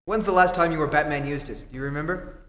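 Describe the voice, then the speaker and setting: A man playing a calm, inquisitive investigator and mentor in an old-time radio play on a theatre stage. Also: fairly high pitch, fast, natural voice. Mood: thoughtful. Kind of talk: 1940s radio acting. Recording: echoey theatre hall.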